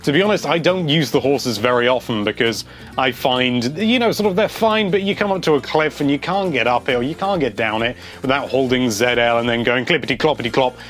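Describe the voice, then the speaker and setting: A man's voice: medium pitch, brisk, a bit bright. Chatty enthusiastic male video host. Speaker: video host answering viewer comments. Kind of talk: casual chat reading comments aloud.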